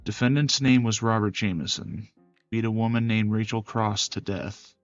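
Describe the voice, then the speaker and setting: A man voicing a polite, reserved young male prosecutor in a visual novel, speaking in a measured, earnest way. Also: fairly low pitch, steady tone, dry recording, dull audio, faint music behind, close to an everyday voice. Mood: polite, businesslike. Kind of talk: visual novel character voice acting.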